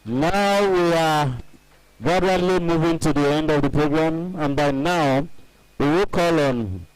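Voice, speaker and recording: An emcee speaks slowly and haltingly, with a slightly raised voice. The sound is dry and close. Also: male voice, a little high, clean tone, dry recording, poor audio. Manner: halting, announcing to the crowd. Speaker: master of ceremonies.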